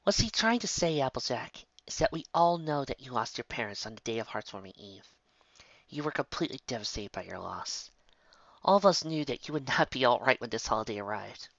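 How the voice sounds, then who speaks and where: A woman voicing a gentle, wise young mare who explains things calmly, in a dull lo-fi home recording. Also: a bass voice, a little fast, no room sound, exaggerated voice. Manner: calm, explaining, reassuring.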